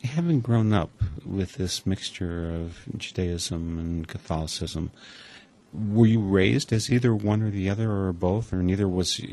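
A radio host with a very low, deep voice asks his guest questions in an unhurried, curious way. He often draws out his phrasing as he talks about music. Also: darkish tone. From Wisconsin.

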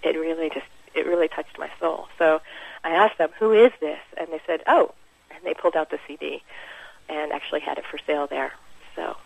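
A musician guest in a radio interview, speaking in a warm, reflective way and laughing easily. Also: mid-range voice, steady tone, brisk, creaky, no room sound, low-quality recording. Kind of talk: conversational interview.

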